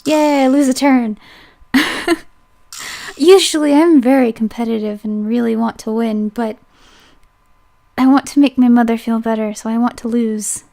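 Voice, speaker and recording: Slow-talking woman reading a game aloud. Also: a little high, vocal fry, mellow. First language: English. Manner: chatty asides, playful self-correction. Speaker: let's play host. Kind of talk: reading a visual novel aloud with commentary.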